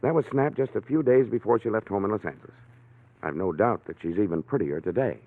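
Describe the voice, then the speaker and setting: A man's voice: lowish voice, expressive, quick pace. A man acting an anxious, secretive client in a golden-age radio drama, speaking formally with clipped urgency. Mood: guarded. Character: anxious, secretive male client hiring a detective. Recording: tinny, narrow-band old radio recording.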